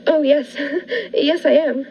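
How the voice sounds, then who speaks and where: A woman playing a shy, excitable spinster of forty in a 1940s-style radio drama, earnest and eager. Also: high voice, slow, slight background noise. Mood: shy, flustered. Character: naive, excitable, shy forty-year-old spinster diarist. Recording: dull lo-fi with music behind.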